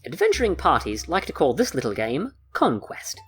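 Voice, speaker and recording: A man acts out a sly fantasy rogue, a playful trickster who talks like a showman. His pitch leaps and dips dramatically, over a soft bed of music. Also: huge pitch swings, moderate pace, husky, exaggerated voice. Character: smirking male trickster rogue, playful card-game host. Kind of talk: acted audio fiction dialogue.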